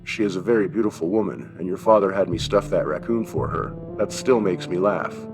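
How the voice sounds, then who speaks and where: A man's voice: deep voice, moderate pace, over music, lightly acted. A man voicing a kindly, wry old German shopkeeper in an audiobook story, with a thin accented voice and avuncular questions. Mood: warm, confiding. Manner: avuncular, chuckling, rhetorical questions.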